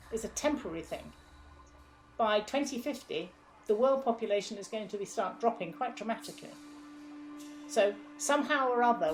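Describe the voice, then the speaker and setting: A woman's voice: medium pitch, poor audio. An older woman speaks as an interview guest, firm and emphatic, repeating phrases as she works through her thoughts. Faint music sits under her voice. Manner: emphatic and forthright. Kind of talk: interview answers.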